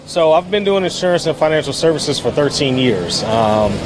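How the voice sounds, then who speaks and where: A man's voice: a little high, animated, normal speed. A man in his thirties answering interview questions outdoors in a polished, promotional way. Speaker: insurance agency owner. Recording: noisy outdoor street.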